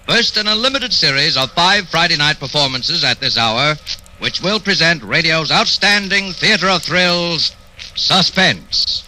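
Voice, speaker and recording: A man's voice: fairly high pitch, pushed and bright, low-quality recording. A man announcing a radio drama in a formal, dramatic, scripted 1940s style. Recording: vintage radio broadcast recording.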